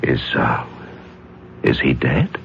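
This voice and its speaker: An actor playing a secretly murderous husband in a 1970s radio drama, theatrical and guarded, with smooth, feigned innocence. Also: male voice, extremely low pitch, slow pace, background noise, heavily acted. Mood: uneasy. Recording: dull lo-fi 1970s radio recording.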